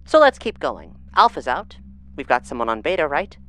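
Blunt impatient lady boss, lowish voice, swings around a lot, brusque, space audio drama. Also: sing-song, moderate pace. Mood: brusque impatience. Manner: brusque, commanding, exasperated. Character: blunt, impatient tough woman running moonbase security. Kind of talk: sci-fi audio drama acting.

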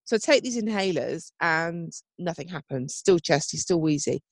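A woman's voice: lowish voice, animated, moderate pace, no echo. A woman with a wheezy, chesty voice and a cough, chatting on a podcast. She tells her story casually and chattily, with a dry, close sound. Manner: chatty storytelling with frequent "oh my God" and laughs. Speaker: podcast co-host. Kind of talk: casual chat.

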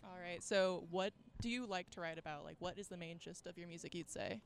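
Woman, interviewer, smooth clear voice, informal, affirming, conversational. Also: on the low side, fairly quick, dry recording. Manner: informal, affirming, brief responses. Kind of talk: casual, supportive interview chat.

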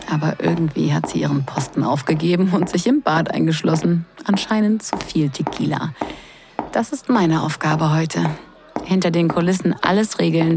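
A woman's voice: sits low, creaky, noisy background. A woman acting a sarcastic, flustered young sister of the groom in an intimate, confiding audio drama. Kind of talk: intimate first-person audio drama narration and dialogue.

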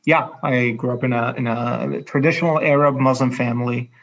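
A man from Egypt with an Egyptian Arabic accent, an educator speaking as an interview guest in a halting way, searching for words. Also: medium pitch, steady tone, gravelly. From Cairo, Egypt. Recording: dull, muffled lo-fi audio.

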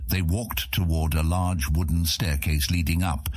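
Very deep, husky male audiobook narrator. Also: fairly even intonation, a little slow. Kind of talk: scripted fantasy audiobook narration.

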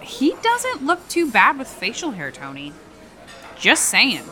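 A brash young woman in an audio drama, her bright voice pitched a little high. She is sarcastic, teasing and unrepentant, with a casual, animated delivery. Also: slow, faint music behind, exaggerated voice. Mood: taunting. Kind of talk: naturalistic audio drama dialogue.

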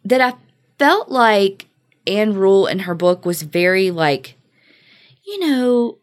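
A woman's voice: medium pitch, sing-song, slow pace. An American woman co-hosting a podcast, telling a story in a casual, conversational way with informal, joking asides. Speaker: true-crime podcast co-host.

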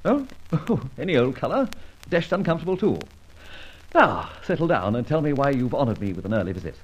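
An actor playing a witty, debonair gentleman adventurer in an old-time radio drama. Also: medium pitch, sing-song, a little fast, breathy edge. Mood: breezy, teasing. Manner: debonair, flippant, bantering. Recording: dull old radio recording.

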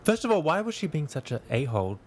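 A man's voice: on the high side, sing-song, fast, over a noisy crowd. A man co-hosting a podcast, rambling casually and laughing along. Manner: rambling, laughs easily, self-correcting. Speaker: podcast co-host. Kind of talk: casual chat.

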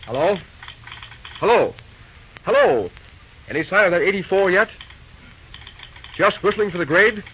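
A man playing a brisk, busy railroad dispatcher in an old-time radio drama, talking in clipped, folksy railroad slang. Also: high-pitched, lively intonation. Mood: brisk, irritated. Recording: muffled, noisy old radio recording.

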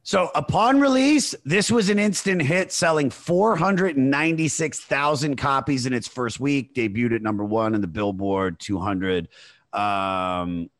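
A man's voice: mid-range voice, measured pace, no room sound. A man hosting a podcast in casual chat, reading trivia and making crude jokes, saying "dude" a lot. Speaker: podcast host.

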